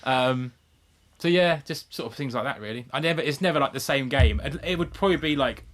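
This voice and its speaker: A man's voice: mid-range voice, a little fast, a bit bright. A British man co-hosting a podcast in casual chat, speaking in a laid-back, sweary way with lots of "like". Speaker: podcast co-host. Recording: internet call recording.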